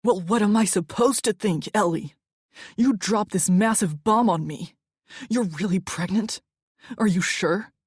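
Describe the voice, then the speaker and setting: A woman's voice: fairly low pitch, lively intonation, measured pace, few pauses, a little mellow, breathy edge, dry recording, studio quality, full character voice. Woman reading a seething, angry young man. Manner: clipped, seething.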